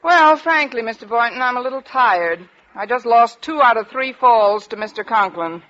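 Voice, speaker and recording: A sardonic schoolteacher with a high, bright voice, tossing off wry lines in a 1940s radio comedy. The old broadcast is muffled and noisy. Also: female voice, lively intonation, moderate pace. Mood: dry, wry. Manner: wry wisecracks, flustered under pressure. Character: sharp-witted, underpaid high school English teacher, tired and sardonic.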